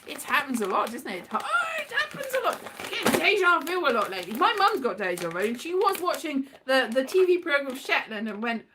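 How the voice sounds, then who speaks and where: British female vlogger, high-pitched and highly expressive; casual, whimsical, wandering delivery with playful dramatic flourishes. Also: fairly quick, hardly any pauses, heavy background noise. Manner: whimsical, digressive, playfully dramatic, breaks into quoted voices. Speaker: performer and vlogger. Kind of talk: rambling casual monologue.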